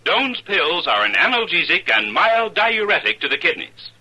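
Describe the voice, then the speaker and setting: A man with an American accent reading a scripted radio advertisement as announcer, in a husky voice. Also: high voice, sing-song, moderate pace, sharp tone, rough recording. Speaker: commercial announcer. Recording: old radio broadcast.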